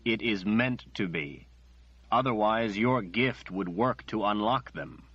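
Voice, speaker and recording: A grave older prophet speaks in a deep, husky voice, as a male narrator gives it. He is solemn and will not give in, heard on a dull, lo-fi recording. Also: expressive, a little slow, dry recording, heavily acted. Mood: stern.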